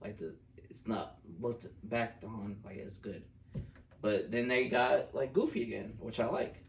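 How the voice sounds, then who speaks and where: A man's voice: medium pitch, measured pace, vocal fry, far from the mic, a little hiss or hum. A young man co-hosting a casual review chat, talking in a blunt, slangy way. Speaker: film review co-host. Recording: echoey room, off the mic.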